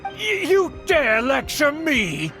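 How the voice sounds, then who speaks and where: An actor playing a pompous, middle-aged aristocratic uncle in a video game, formal, imperious and theatrically haughty. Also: male voice, very high voice, wildly swooping pitch, takes it slowly, sharp tone, heavily acted. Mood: outraged, commanding. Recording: background music under the voice.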